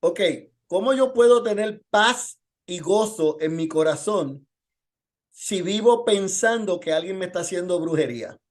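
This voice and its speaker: High-pitched male pastor teaching. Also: expressive, measured pace. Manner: rhetorical questioning. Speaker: pastor teaching a Bible lesson. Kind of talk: informal sermon and Bible teaching with testimony.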